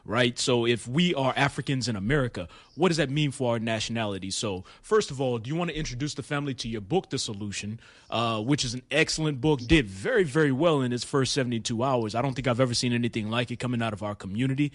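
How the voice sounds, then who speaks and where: Measured male radio host, dry close sound. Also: mid-range voice, no room sound. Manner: affirming, measured, steers the talk. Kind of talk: radio interview. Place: radio studio.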